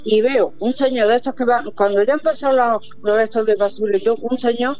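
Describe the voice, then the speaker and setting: A woman in her seventies calling in to a radio show, chatting in a rambling, warm-hearted way with devout exclamations. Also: on the high side, fairly even intonation, fairly quick, muffled recording, soft background music. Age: 70s. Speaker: radio caller. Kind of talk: casual chat on a phone-in.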